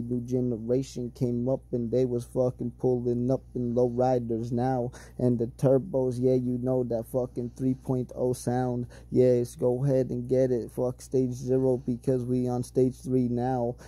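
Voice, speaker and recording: Flat, dark-voiced man freestyling. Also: mid-range voice. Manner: rambling, heavily profane flow. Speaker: amateur freestyle rapper. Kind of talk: a cappella freestyle rap.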